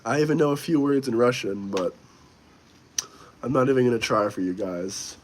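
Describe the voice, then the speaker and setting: A man's voice: mid-range voice, moderate pace, slight background noise. A young man hosting a live stream, casually and bluntly answering viewer comments while a little under the weather. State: slightly sick. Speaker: livestream host.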